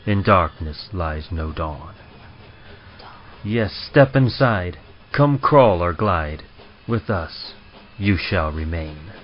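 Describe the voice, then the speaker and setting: A man playing a deep ominous train conductor. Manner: slow, theatrical, rhyming recital. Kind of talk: old-time radio horror acting. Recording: dull sound with music behind.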